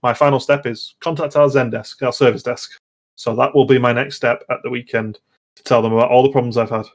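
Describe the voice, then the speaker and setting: A man's voice: medium pitch, fairly even intonation, brisk. A man hosting a casual gaming podcast, talking in a rambling, hesitant way that often repeats words. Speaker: podcast host.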